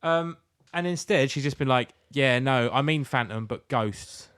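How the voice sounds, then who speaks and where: A man's voice: medium pitch, a little slow, a bit bright, clean tone, no room sound, studio quality. A British man co-hosting a casual comedy podcast, ranting bluntly with exasperation and plenty of swearing. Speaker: podcast co-host.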